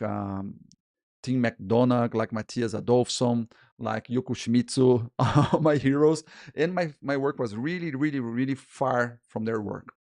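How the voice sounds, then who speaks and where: A man in his forties with a Portuguese-speaking accent talks through his second language, stopping, restarting and repeating words as he goes. His pitch sits in an ordinary middle range. The sound is close and dry, with no room around it. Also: vocal fry, darkish tone, dry recording. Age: 40s. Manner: halting, many restarts and repeated words, frequent "okay?" and "guys". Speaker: freelance illustrator and podcast host. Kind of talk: casual solo talk to camera.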